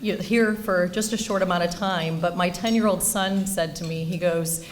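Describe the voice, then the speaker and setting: A woman's voice: lowish voice, steady tone, normal speed, a little hiss or hum. A woman banker presenting an award in an informal, enthusiastic, anecdotal speech at a ceremony.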